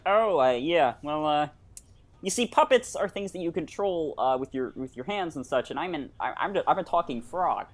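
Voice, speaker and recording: An actor as a male frog puppet, flustered, sardonic, stammering, excitable comedy character. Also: mid-range voice, moderate pace, close to an everyday voice. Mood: deadpan, flustered. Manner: hesitant stammering that breaks into outbursts.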